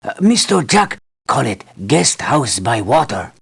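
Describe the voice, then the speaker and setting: Male island guide for a game: husky, creaky, mid-pitched, earnest and wary, broken English. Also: big pitch swings, a little slow, full character voice. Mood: earnest warning. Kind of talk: video game voice lines.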